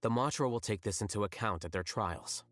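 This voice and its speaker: Actor as a low, deadpan, aloof young scholar. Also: male voice, no room sound, natural voice. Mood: calm, detached. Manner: deadpan, measured, matter-of-fact, articulate. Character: aloof, blunt young male scholar, coolly rational. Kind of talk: video game voice acting. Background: music.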